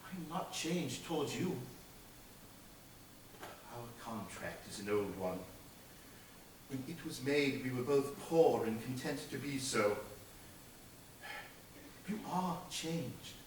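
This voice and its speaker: A sorrowful young woman, performed by a man, speaks in a soft, restrained hush. Her slow delivery sounds distant and washed in echo. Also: a little high, animated, slight background noise, pitched below the performer's own voice. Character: poor young woman, sorrowful and quietly reproachful.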